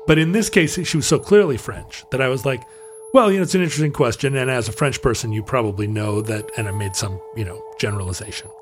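A middle-aged man, co-hosting a podcast and telling stories casually in a halting, wry way. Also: medium pitch, a little hiss or hum. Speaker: podcast co-host. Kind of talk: casual conversational storytelling.

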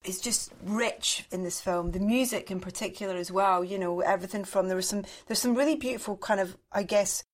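A woman's voice: medium pitch, fairly quick, few pauses. A Scottish woman hosting a podcast interview, asking questions with enthusiastic, effusive praise. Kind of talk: friendly interview chat.

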